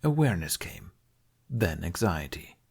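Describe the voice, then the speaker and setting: A man's voice: low-pitched, very animated, a little slow, gravelly, darkish tone, breathy edge. A man reading a story aloud as an audiobook narrator in a scripted, storytelling style.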